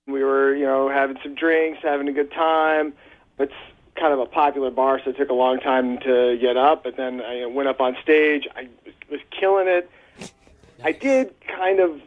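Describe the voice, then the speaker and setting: A man's voice: mid-range voice, steady tone, moderate pace. Male caller on a tinny phone line. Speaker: radio phone-in guest. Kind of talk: casual storytelling. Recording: band-limited phone line on radio.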